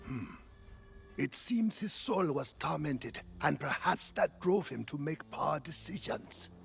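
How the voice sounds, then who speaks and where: An actor playing a grave, wise mentor warden in a fantasy game, speaking solemnly with a creaky voice and dramatic swoops. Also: male voice, a little high, sing-song, slow, faint music behind, full character voice. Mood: wry, weary.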